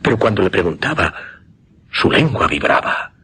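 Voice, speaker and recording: An actor playing an intense mesmerist who recounts a macabre experiment in a suspense radio drama, with a Castilian accent and deliberate, emphatic delivery. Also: male voice, low-pitched, very animated, pushed and bright, a little hiss or hum, exaggerated voice. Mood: grave, insistent. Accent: Castilian Spanish.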